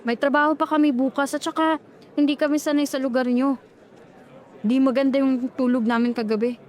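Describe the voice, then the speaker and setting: A woman's voice: high-pitched, fairly even intonation, brisk, a little mellow, natural voice. A woman voicing a frightened young woman in a horror audio story, talking anxiously and urgently. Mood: fearful.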